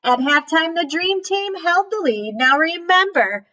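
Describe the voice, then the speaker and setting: A woman with a high voice reads a picture book to young children like a teacher at story time. She goes slowly and puts emphasis on the sound words. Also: expressive, a bit bright. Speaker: read-aloud storyteller, teacher.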